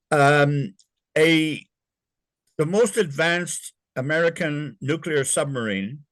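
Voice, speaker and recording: An older man, born in England and raised in Canada, recalls his navy years in an interview, talking in a halting way with many fillers and restarts. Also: a little high, fairly bright tone, dry recording. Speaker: interview guest, former navy sailor. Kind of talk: casual recollection in an interview.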